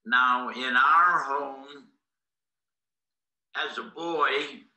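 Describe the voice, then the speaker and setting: A man in his nineties from Boston, whose first language was Greek, recounts his life story in a deliberate, halting, formal way. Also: mid-range voice, sharp tone. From Boston, United States. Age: 90s. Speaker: interviewee, war veteran. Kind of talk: recounting life history in an interview. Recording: echoey room.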